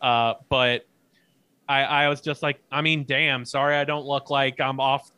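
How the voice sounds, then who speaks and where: A man's voice: mid-range voice, flat delivery, unhurried, sharp tone, smooth. A young man telling stories in a casual chat, swearing freely, laughing often and tripping over his words. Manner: profane, loose storytelling with many fillers and restarts. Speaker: podcast co-host.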